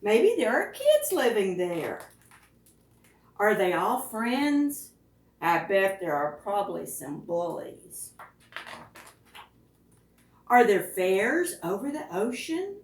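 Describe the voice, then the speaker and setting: A woman librarian reading picture books aloud to preschoolers in an expressive way, often putting questions to her young listeners. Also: mid-range voice, slow, pauses often. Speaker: children's librarian reading at storytime. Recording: roomy echo, distant microphone.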